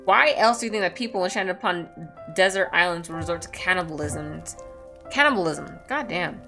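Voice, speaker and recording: A woman's voice: fairly low pitch, lively intonation, brisk, creaky, pushed and bright. Woman reading a visual novel over music. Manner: blunt, sweary reactions between read passages. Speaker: gaming YouTuber. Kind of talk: reading a visual novel aloud with casual asides.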